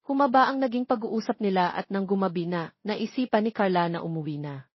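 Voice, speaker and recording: A woman's voice: medium pitch, fairly quick, breathy edge. A woman reading a romantic story as a scripted audiobook narration. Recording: roomy, slightly dull recording.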